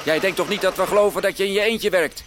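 A man's voice: high voice, fast, a bit bright. An actor playing a cheeky but earnest teenage boy in a radio drama. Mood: cocky. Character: plucky, cheeky teenage boy, policeman's son. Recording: noisy radio drama recording.

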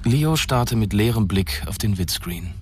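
A man's voice: fairly low pitch, expressive, unhurried, a little mellow, slightly rough. A man narrating a scripted science fiction radio drama in a composed, literary manner.

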